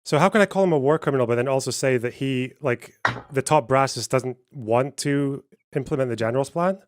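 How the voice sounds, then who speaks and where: A man's voice: mid-range voice, fairly quick. A man hosting a heated online debate from his stream, combative and pressing, often cutting in to demand answers.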